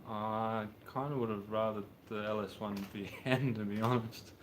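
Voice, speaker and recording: A man's voice: fairly low pitch, fairly even intonation, normal speed, a little hiss or hum. A man in his thirties with an Australian accent, chatting casually and rambling on a livestream from his car workshop. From Brisbane, Australia. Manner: laid-back, rambling, slangy. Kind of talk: casual livestream chat answering viewers. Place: home mechanic workshop, car on a hoist.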